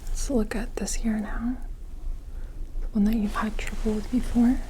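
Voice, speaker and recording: A woman whispering softly and breathily in an ASMR medical roleplay, gently and carefully narrating an examination like a clinician. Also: on the high side, fairly even intonation, unhurried, a little hiss or hum, poor audio.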